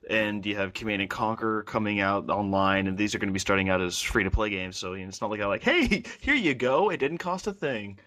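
A man's voice: fairly low pitch, a little fast, hardly any pauses, fairly bright tone, no echo. A man co-hosting a casual gaming podcast with dry self-deprecating jokes. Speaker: podcast co-host. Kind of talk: casual chat.

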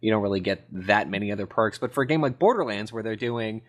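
A man's voice: fairly low pitch, quick pace. A man co-hosting a podcast in casual conversation, opinionated and rambling, often repeating himself for emphasis. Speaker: podcast co-host. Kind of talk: casual gaming podcast chat.

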